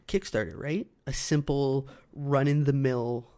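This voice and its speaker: A man's voice: mid-range voice, steady tone, a little slow, warm tone. A man co-hosting a podcast in casual conversation, talking through his argument. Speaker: podcast co-host. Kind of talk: casual chat discussing video game movies.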